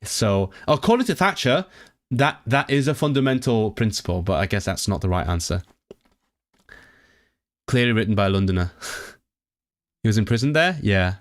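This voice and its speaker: A man with a fairly low voice, talking casually to his livestream chat. He reads questions aloud and translates them as he goes. He reacts with lively, animated asides, often stumbling and restarting. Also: moderate pace, pauses often.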